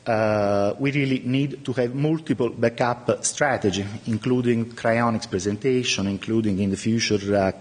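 Middle-aged guy, italian is his first language, kinda husky, stop-start talk at a podium, hall echo. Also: medium pitch. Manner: halting, many "uh" fillers. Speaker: conference speaker. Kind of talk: conference talk with slides. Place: large lecture room at a podium.

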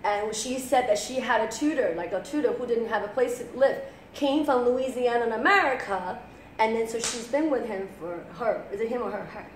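A woman in her thirties hosting a live show as a voice teacher, chatting in a casual, animated way and switching between Mandarin and English while translating for viewers. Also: a little high, brisk. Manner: chatty, animated, often translates herself and laughs. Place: living room, on a sofa. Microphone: fixed webcam at a distance. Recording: echoey room with faint background noise.

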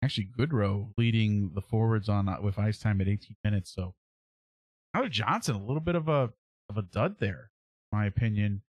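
A man in his thirties hosting a sports podcast, giving casual analysis in a halting way as he thinks aloud. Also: fairly low pitch, dry recording. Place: small indoor room at home, on a livestream webcam.

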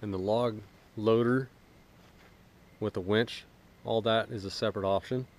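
A man in his thirties giving a relaxed, casual walkthrough of equipment outdoors in a wooded yard, filming himself. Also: fairly low pitch, a little slow. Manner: relaxed, explanatory, joking. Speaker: vlogger.

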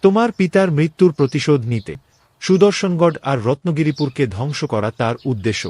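A man's voice: a little high, expressive, fairly quick, darkish tone, no room sound. A man playing a king's loyal, worried young friend in a cartoon drama, with an earnest, pleading way of speaking. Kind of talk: dramatic Bengali cartoon voice acting.

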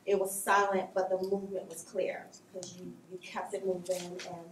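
A woman's voice: lowish voice, flat delivery, moderate pace, noisy background. A woman from Polk County, Florida, speaking thoughtfully on a panel in a large meeting room. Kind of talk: panel discussion. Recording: echoey room from far off.

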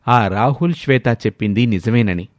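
A man's voice: mid-range voice, expressive, fairly quick, dry recording, clean studio sound. A man narrating a scripted moral story in a dramatic storytelling style.

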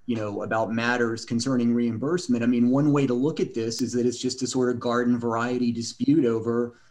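A middle-aged male judge presses an attorney with pointed but conversational questions. His voice is of ordinary pitch, coming over a video link from a courtroom bench. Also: fairly even intonation, a little fast, darkish tone. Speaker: appellate judge. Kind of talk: questioning counsel at oral argument.

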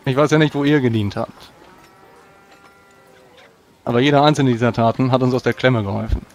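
A man's voice: medium pitch, normal speed, a little mellow. A man playing a tabletop roleplaying game, speaking in solemn, formal in-character speech.

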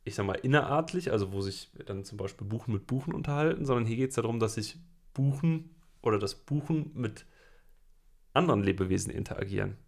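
A young man with a fairly low voice, explaining things in a hesitant way with many fillers and restarts. He talks casually as co-host of a live stream. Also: animated, fast, vocal fry.

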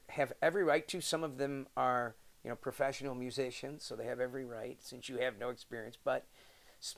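A middle-aged man with an American accent hosting an interview show, presenting emphatically like a showman. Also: medium pitch. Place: wooden sunroom indoors.

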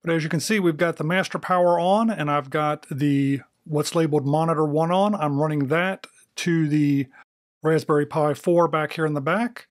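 An American man narrates a casual demo as a video host. His voice sits a little higher than average for a man. The sound is close and dry, with very little room in it. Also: steady tone, normal speed, no echo.

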